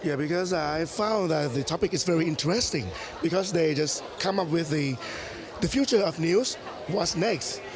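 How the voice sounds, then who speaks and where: A man hosting a live event, speaking halting English and often repeating himself. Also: a little high. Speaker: event host. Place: busy public forum venue. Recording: busy crowded event hall chatter.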